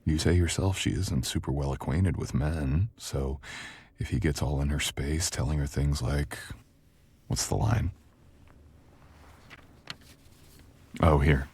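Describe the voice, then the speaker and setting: Man playing a very deep-voiced, confident book editor. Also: fairly even intonation, breathy edge, full character voice. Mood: coaching.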